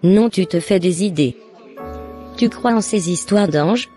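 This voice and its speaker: A woman playing a skeptical, teasing young cousin in a scripted animated drama with casual dialogue. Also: lowish voice, measured pace, faint music behind, lightly acted.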